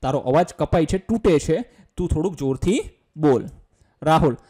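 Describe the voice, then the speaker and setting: Mellow, higher-voiced Gujarati-accented male teacher. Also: lively intonation, normal speed, slightly rough. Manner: colloquial, step-by-step, asks and answers own questions. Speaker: English teacher. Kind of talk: explanatory school lesson, reading English lines then explaining them.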